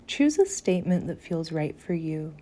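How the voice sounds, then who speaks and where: A woman guiding a meditation in a soothing, gentle manner, softly breathy at phrase ends, with long pauses between short phrases. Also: low-pitched, very animated. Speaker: yoga nidra meditation guide. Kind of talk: slow guided meditation.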